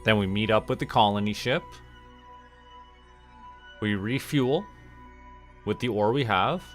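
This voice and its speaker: Casting: male game streamer, lowish voice, casual think-aloud commentary, music underneath. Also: measured pace. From Canada. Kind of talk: casual livestream gameplay commentary.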